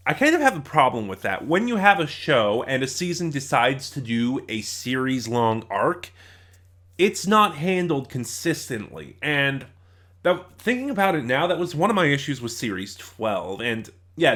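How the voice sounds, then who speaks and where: A man's voice: medium pitch, fairly bright tone. Rambling man giving a casual review. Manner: hesitant, digressive, opinionated.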